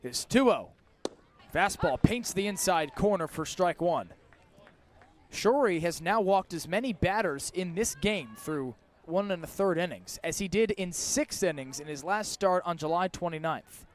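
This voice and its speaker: A man's voice: high-pitched, lively intonation, unhurried, slight background noise. A man doing live radio play-by-play commentary of a baseball game as the announcer.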